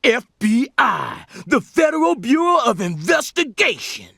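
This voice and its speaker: A man's voice: very high voice, very animated, measured pace, vocal fry, sharp tone, slightly rough, dry recording, studio quality, heavily acted. A man playing a folksy, jovial small-town sheriff in a video game, with a rambling Southern US drawl. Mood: jovial, yielding.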